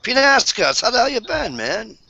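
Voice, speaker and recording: A man's voice: extremely high pitch, sing-song, moderate pace, creaky, sharp tone, breathy edge, no echo, muffled recording. A man hosting a casual online group chat from home, joking, laughing often and swearing freely. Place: at home, wife in the back room.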